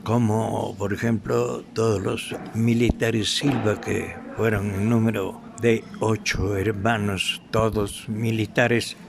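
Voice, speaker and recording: Gravelly, slow-spoken older Quito man. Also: fairly low pitch, little pitch movement. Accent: Ecuadorian, Quito. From Quito, Ecuador. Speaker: guest, veteran radio actor. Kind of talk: reminiscing in an interview. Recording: clearly audible background noise.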